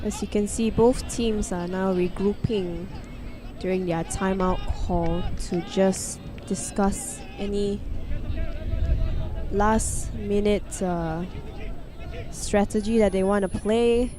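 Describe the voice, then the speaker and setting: A woman's voice: mid-range voice, fairly even intonation, slow, music in the background. A woman doing chatty, detailed live play-by-play commentary at an outdoor field. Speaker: sports commentator. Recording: outdoor recording with clear crowd noise. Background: crowd.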